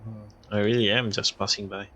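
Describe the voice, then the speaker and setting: A man's voice: on the low side, level tone, moderate pace, bright, forceful tone. A man streaming a game, casually reacting and muttering along in a loose, mumbled way. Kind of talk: casual reactions and reading along while playing.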